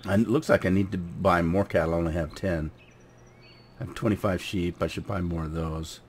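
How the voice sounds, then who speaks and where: Gravelly, deep-voiced man with a cold. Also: fairly even intonation, fairly quick. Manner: rambling, clears throat often. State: hoarse from a cold. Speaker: gaming channel host. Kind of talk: casual gameplay commentary.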